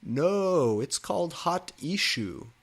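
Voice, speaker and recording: A man's voice: fairly high pitch, very animated, voice pushed up, full character voice. A man voicing a secretive, brooding young goth schoolboy in a story. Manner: drawn-out, secretive.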